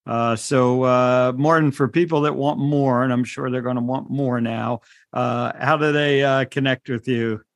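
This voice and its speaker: A man co-hosting a podcast, speaking haltingly with many uhs. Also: mid-range voice, fairly even intonation, dry recording, clean studio sound. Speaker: podcast co-host, doctor. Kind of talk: casual interview chat.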